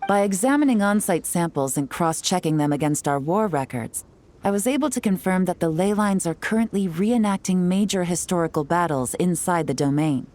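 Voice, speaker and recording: A woman playing a cool, shrewd young intelligence agent in a video game, speaking in a measured, knowing, composed way. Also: on the low side, expressive, a little mellow, natural voice. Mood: composed briefing. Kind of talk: video game voice acting, story exposition.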